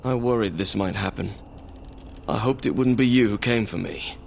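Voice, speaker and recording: A weary deserter soldier from a fantasy video game, resigned and reluctant. The voice is creaky and grave, with measured delivery over faint background noise. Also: male voice, on the low side. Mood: resigned, regretful. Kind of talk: video game dialogue acting.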